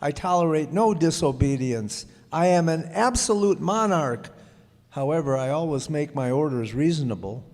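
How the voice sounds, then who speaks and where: A man's voice: fairly high pitch, expressive, normal speed, darkish tone. A man acting a pompous, imperious, self-important king in a theatrical radio play. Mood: smugly reasonable. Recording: echoey hall, long reverb.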